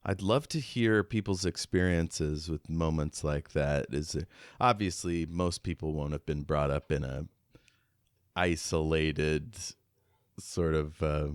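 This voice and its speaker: A man with an extremely low, resonant voice talks casually as a podcast co-host. The sound is close and dry, and his phrasing is reflective, feeling its way through each thought. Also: takes it slowly, no echo. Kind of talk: casual book discussion.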